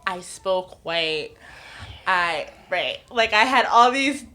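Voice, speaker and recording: A woman's voice: on the low side, animated, takes it slowly, sharp tone. Young woman, podcast guest, candid, laughs a lot, acts out quotes, casual chat. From northeastern United States. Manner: frank storytelling, laughs often, acts out others' words.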